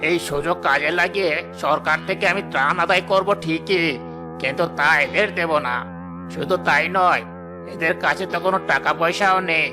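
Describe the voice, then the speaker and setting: A man acts out a rich village headman for a cartoon moral story, greedy and scheming. The voice sits fairly high and gloats smugly, with music clearly behind it. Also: normal speed, lightly acted. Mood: gloating, scheming. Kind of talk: animated moral story voice acting.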